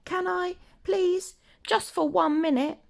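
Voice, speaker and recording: A woman's voice: very high-pitched, steady tone, slow, vocal fry, pitched above the performer's own voice, heavily acted. A woman voicing an eager, persistent young boy in a children's storybook, pleading and insistent.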